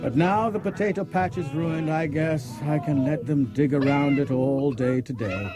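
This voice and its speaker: An actor playing an exasperated, indignant older farmer in a children's audiobook, very expressive. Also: male voice, fairly high pitch, normal speed, lightly acted. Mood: warning. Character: exasperated older male farmer with a vegetable garden. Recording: cassette audiobook with music behind.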